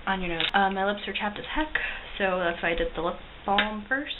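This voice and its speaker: A woman's voice: medium pitch, brisk. A young woman giving a casual makeup tutorial in a chatty, self-deprecating way, with frequent ums. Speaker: cosplay makeup vlogger. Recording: tinny lo-fi recording with loud hiss.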